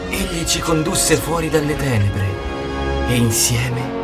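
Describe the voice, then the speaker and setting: A man's voice: mid-range voice, lightly acted. A man playing a kindly, noble knight and devoted father in a cartoon fandub. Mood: proud. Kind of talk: animated film fandub storytelling. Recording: music loud behind the voice.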